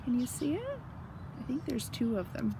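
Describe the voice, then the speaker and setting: A woman reading a picture book aloud to children, gently explaining and asking questions in asides. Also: high-pitched, big pitch swings, normal speed, noisy background. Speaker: children's story reader.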